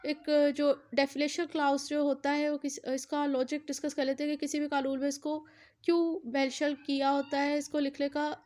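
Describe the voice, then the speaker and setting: A woman's voice: high voice, darkish tone. A woman with a Pakistani accent giving an explanatory lecture as a teacher, mixing in English terms and repeating key points for emphasis. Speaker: law lecturer. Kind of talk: explanatory exam-prep law lecture.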